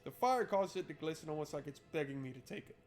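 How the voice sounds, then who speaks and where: Joking male streamer, slightly high voice. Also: lively intonation, fast talker, a little mellow, soft background music. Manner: joking, sweary, irreverent. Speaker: game streamer. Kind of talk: casual playthrough commentary, reading narration aloud.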